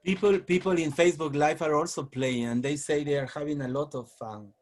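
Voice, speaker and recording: A man's voice: a little high, fairly even intonation, fairly quick. A man with a Peruvian Spanish accent hosting an online teachers' meeting over a video call, cheerful and joking with guests. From Peru.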